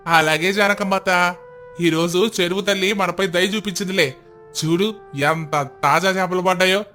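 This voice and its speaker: Man playing a hearty, bright-voiced village fisherman. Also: high-pitched, fairly even intonation, moderate pace, faint music behind. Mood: cheerful. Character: friendly, respectful village fisherman.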